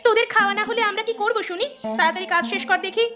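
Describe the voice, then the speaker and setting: A woman voicing a sharp-tongued, selfish village aunt in a cartoon, scolding and grumbling. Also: extremely high pitch, racing, loud music behind the voice. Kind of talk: Bengali cartoon dubbing.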